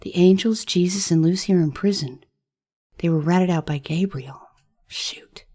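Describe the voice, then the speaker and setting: Woman as female fantasy bard, earnest, husky and dark-toned, audio drama with faint music underneath. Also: fairly low pitch, normal speed, natural voice. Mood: alarmed, determined. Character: Pinky, weary adult female bard and spellcaster, earnest.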